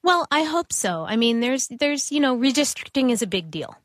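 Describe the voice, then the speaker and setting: A woman with a Canadian accent speaks as a guest in a radio studio, her voice close and dry. She answers thoughtfully, pausing on fillers and restarting her sentences as she works out what to say. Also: high-pitched, sing-song, dry recording. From Toronto, Canada. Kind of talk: interview answers.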